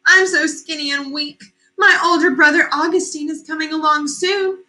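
A woman's voice: steady tone, normal speed, pushed and bright. A woman doing a skinny pleading middle goat. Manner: begging, high-pitched.

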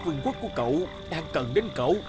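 Big bearded wildman of the woods, solemn but really animated, cartoon with music behind. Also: fairly high pitch, fast. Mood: grave, urging. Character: huge bearded forest wildman, grave and loyal. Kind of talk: cartoon voice acting. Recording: music under the voice.

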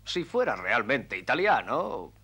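A sly, needling schemer. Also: male voice, medium pitch, very animated, measured pace, bright, forceful tone, husky, full character voice. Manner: needling, mocking. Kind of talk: Spanish cartoon dub.

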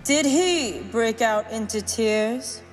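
Lady playing shakespeare stage actor, very slow n theatrical, big hall reverb. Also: fairly high pitch, faint music behind. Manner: theatrical, declamatory. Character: stage performer reciting a Shakespeare comedy. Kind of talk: classical stage acting in audio drama.

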